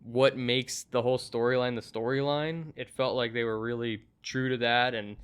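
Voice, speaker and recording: Male review host, mid-pitched, smooth and clear, chatty and agreeable. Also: fairly even intonation, moderate pace, fairly bright tone. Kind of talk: casual review discussion.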